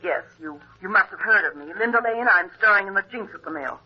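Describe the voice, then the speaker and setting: An actress playing an anxious, self-important stage star, speaking with urgent insistence in a 1950s radio drama. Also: female voice, mid-range voice, expressive, fast, breathy edge. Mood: anxious, insistent. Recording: muffled vintage radio telephone voice.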